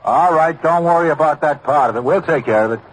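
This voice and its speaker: An actor playing a brisk, insistent police sergeant steadying an emergency caller in a 1950s radio drama. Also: male voice, fairly high pitch, lightly acted. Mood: brisk, urgent. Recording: noisy old radio drama recording.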